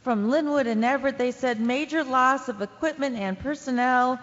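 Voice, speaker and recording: A woman's voice: sits high, moderate pace, close to an everyday voice. A woman acting as a hesitant, urgent newsroom staffer relaying emergency news in a radio drama. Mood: flustered, urgent. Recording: dull lo-fi audio with reverb.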